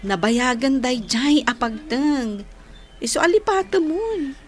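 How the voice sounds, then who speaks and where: Gentle modest young woman, Ilocano accent, high-pitched, unhurried slow delivery, radio drama. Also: expressive. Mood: gentle, forgiving. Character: gentle, forgiving young woman, modest about being courted.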